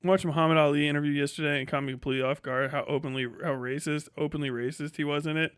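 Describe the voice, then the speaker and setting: High-pitched man, dry recording, casual streamer monologue, slangy, swearing, rambling, emphatic. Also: fairly even intonation, quick pace, fairly bright tone, smooth, no room sound. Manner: profane, slangy. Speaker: live streamer.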